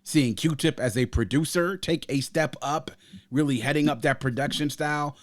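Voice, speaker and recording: A man's voice: fairly high pitch, normal speed, no echo, clean studio sound. A man co-hosting a podcast, talking casually and enthusiastically about a favorite album, rambling with fillers. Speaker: podcast co-host. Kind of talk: casual album review chat.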